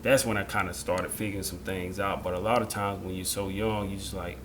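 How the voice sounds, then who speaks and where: A man in his thirties hosting an interview, talking in a relaxed, conversational way that often restarts its phrases. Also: sits low, a little fast, background noise. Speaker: interview host. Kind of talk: casual interview talk.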